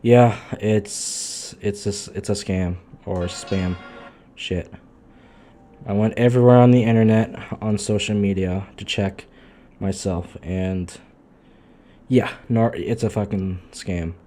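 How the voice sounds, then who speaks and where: Low-voiced man, sweary and halting. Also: fairly even intonation, mellow. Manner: muttering, sweary, halting delivery. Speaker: live streamer. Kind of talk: casual off-the-cuff stream chat.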